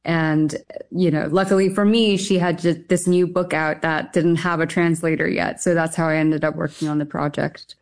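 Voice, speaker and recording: Woman from Taiwan, fairly low pitch, smooth clear voice, conversational, translator guest. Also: fairly even intonation, moderate pace. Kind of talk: conversational interview talk.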